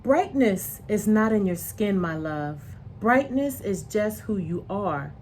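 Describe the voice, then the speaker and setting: Gentle storybook mother, played by a woman, loving and reassuring, slow tender delivery. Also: medium pitch, lively intonation, a little hiss or hum. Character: gentle, loving mother comforting her young daughter.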